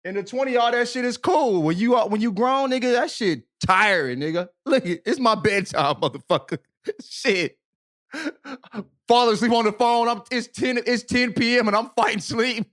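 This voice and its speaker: An American man in his thirties co-hosting a podcast with casual comic banter, animated, slangy and profane. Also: extremely high pitch, normal speed. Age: 30s. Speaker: podcast co-host. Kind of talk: casual comedic banter and mock-dramatic reading.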